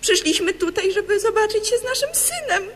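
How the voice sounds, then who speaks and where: Shrill-pitched, swooping, proper wife. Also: female voice, lively intonation, brisk. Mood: patient, explanatory. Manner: theatrical, over-polite, long-winded explaining. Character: fussy, proper, overbearing wife and mother. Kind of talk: satirical radio comedy acting.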